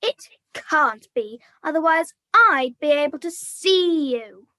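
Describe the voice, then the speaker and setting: A young woman plays a bossy, exasperated puppet in a comedy show. The voice is extremely high and sharp, with slow, deliberate scolding in a dry, close sound. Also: animated, dry recording, heavily acted. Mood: impatient. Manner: stern, scolding. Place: video call.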